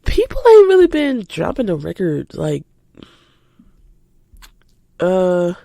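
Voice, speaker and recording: An eighteen-year-old woman chats casually and spontaneously as a podcast host, animated and informal, slightly stuffy from a cold. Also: lowish voice, takes it slowly, vocal fry, dry recording, clean studio sound. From United States, lives in Georgia. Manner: animated, informal, addresses listeners as y'all. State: recovering from a cold.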